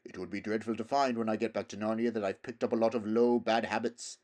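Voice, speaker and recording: A man's voice: on the low side, steady tone, fast talker, a little mellow, natural voice. A proud talking horse from a storybook, played by a man. He speaks in a pompous, emphatic way, lecturing like a worldly elder companion. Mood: anxious. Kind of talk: storybook character voice.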